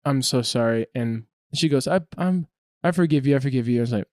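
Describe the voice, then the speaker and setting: A man's voice: medium pitch, fairly quick, a little mellow, no room sound, studio quality. A young man hosting a podcast, telling stories and teasing his guest in casual chat. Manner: storytelling, teasing.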